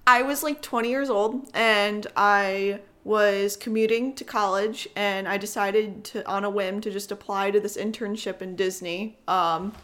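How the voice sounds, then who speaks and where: A woman's voice: mid-range voice, fairly even intonation, unhurried, few pauses, a bit bright. A chatty woman in her twenties telling stories casually, with frequent giggles. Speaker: special guest on a podcast Q&A. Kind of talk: casual chat and storytelling.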